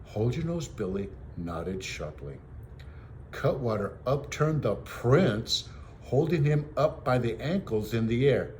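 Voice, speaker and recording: A man reading a children's story aloud as a teacher, with expressive narration on a noisy home recording. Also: mid-range voice, slow pace.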